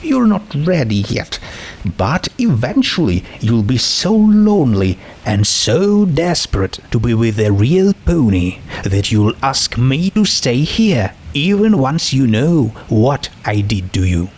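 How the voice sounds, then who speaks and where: A man's voice: medium pitch, very animated, takes it slowly, a little mellow, voice pushed up, full character voice. A man voicing a smug, cheerfully cruel young pony princess in a story, condescending and sweetly menacing.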